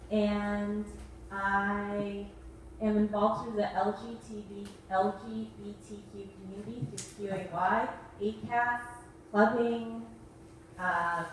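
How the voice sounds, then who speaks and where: A woman's voice: medium pitch, steady tone, slow, a little hiss or hum. A woman from Toronto introduces herself on a community panel in a plain, matter-of-fact manner. She is recorded from a distance in a strongly echoing hall. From Toronto, Canada. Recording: distant mic in an echoey hall.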